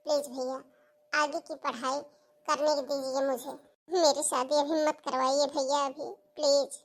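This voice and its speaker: A woman's voice: high voice, little pitch movement, a little slow. A woman voicing a pleading, plaintive young daughter in a cartoon family melodrama. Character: pleading, tearful young daughter who wants to study.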